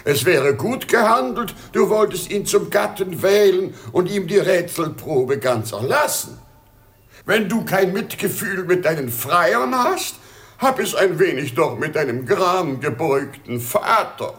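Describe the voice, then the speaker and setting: A man's voice: high-pitched, expressive, measured pace, fairly bright tone. A man playing a pitying, weary old emperor in a theatrical German radio play, plaintive and sighing. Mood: coaxing.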